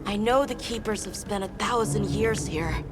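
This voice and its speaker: A woman voicing an earnest, determined young boy on a quest in a video game, speaking deliberately over music. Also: mid-range voice, lightly acted. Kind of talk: dramatic video game dialogue.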